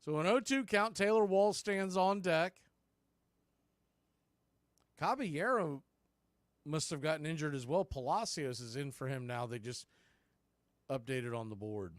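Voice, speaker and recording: High-pitched American baseball play-by-play man. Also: measured pace, long pauses, no echo, studio quality. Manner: clipped phrases, punchy calls, conversational asides. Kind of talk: live radio play-by-play commentary.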